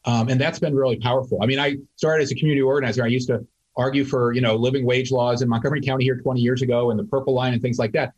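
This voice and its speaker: A fast-talking man with a husky voice, answering as a local council member. He hesitates often and fills pauses with "um" and "uh.". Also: mid-range voice, flat delivery, darkish tone, muffled recording. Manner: hesitant, many fillers. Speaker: county council member, guest. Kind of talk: interview answers.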